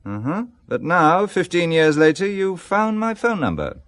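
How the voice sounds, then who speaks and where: A man's voice: high-pitched, very animated, measured pace, a bit bright, heavily acted. An actor playing a suave, teasing, middle-aged British businessman in a radio drama, urbane and wry. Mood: amused, guarded.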